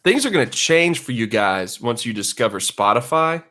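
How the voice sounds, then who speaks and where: A man's voice: medium pitch, very animated, moderate pace, sharp tone. Mock-serious man bantering on a podcast. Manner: mock-serious, teasing, sarcastic. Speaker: podcast co-host. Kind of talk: casual comedic banter.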